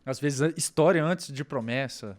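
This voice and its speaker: A man's voice: mid-range voice, normal speed. A man with a Brazilian Portuguese accent chatting casually as a co-host on a podcast. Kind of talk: casual chat.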